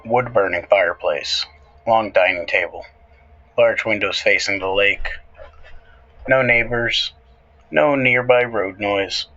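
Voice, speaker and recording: Low-pitched, slow male horror narrator. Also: pushed and bright, muffled recording. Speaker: horror show host and narrator. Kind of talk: scripted horror story narration.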